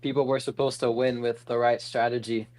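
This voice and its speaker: Young guy breaking down race strategy, mid-pitch, earnest. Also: level tone, brisk, a little mellow. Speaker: co-commentator. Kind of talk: race analysis commentary.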